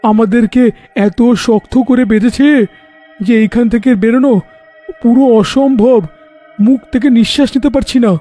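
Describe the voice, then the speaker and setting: A frightened, lamenting captive man from a cartoon ghost story, voiced by an actor. His voice is extremely high for a man yet soft-edged, and music plays behind him. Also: steady tone, normal speed, long pauses. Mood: panicked, despairing. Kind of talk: melodramatic cartoon voice acting.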